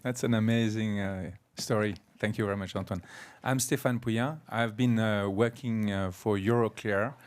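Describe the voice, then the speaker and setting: A man's voice: on the low side, fairly even intonation, moderate pace. A man introducing himself on a panel as a finance executive, in hesitant, broken phrases.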